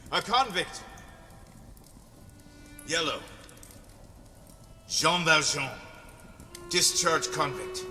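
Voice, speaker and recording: A man playing a desperate, embittered ex-convict, pleading in loud, agitated, insistent outbursts. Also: high-pitched, long reverb tail, pitched above the performer's own voice, heavily acted. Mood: bitter, defiant. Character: desperate, embittered male ex-convict begging for shelter.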